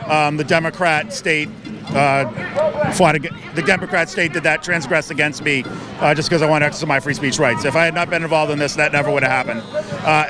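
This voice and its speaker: A man, a rally organizer, giving firm, emphatic answers in a street interview at an outdoor protest. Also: fairly high pitch. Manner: firm, emphatic, with filler pauses.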